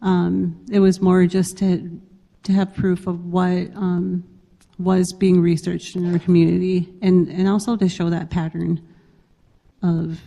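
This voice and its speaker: A woman's voice: on the low side, level tone, a little slow, vocal fry, warm tone. Woman speaking on a conference panel. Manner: conversational, hesitant with fillers. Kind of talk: panel discussion. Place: conference hall. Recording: reverberant conference hall.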